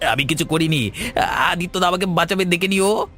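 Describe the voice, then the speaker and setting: A man's voice: high-pitched, moderate pace, pushed and bright, soft background music. A man voicing a frightened, guilty village meat seller in a cartoon, stammering and repeating words nervously. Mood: nervous denial. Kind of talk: Bengali cartoon dialogue.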